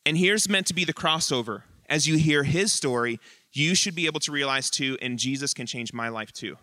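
Bright-voiced man preaching from the pulpit. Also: fairly high pitch, no echo. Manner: earnest preaching with pauses and emphatic short phrases. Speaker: lead pastor. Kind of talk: sermon. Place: church sanctuary.

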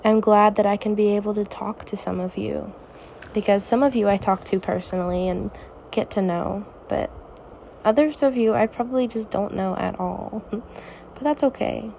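A teenage girl hosting her own podcast, talking softly and reassuringly in a raspy, tired voice late at night. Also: mid-range voice, moderate pace, slight background noise, rough recording. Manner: soft, reassuring, hesitant. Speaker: podcast host. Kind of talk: personal podcast monologue.

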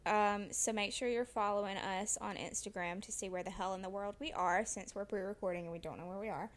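A young woman co-hosting a podcast in casual chat, relaxed and chatty with casual swearing. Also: medium pitch, fairly quick. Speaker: podcast co-host.

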